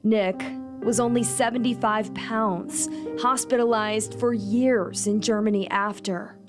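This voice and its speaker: A woman reporter reading scripted news feature narration in a solemn, dramatic, measured way. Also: mid-range voice, steady tone. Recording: music bed under voice-over. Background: instrumental music.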